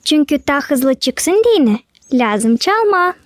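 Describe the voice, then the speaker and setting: A woman voicing a cheerful young cartoon character who explains things eagerly and briskly. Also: high-pitched, very animated, dry recording, clean studio sound. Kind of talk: animated cartoon acting with call-and-response chanting. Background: music.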